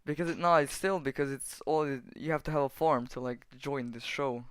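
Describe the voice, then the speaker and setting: A teenage boy from Slovenia, chatting casually on a podcast with halting restarts. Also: fairly high pitch, clean tone, no echo. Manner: halting, restarts often, laughs easily. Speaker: podcast co-host. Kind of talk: casual chat.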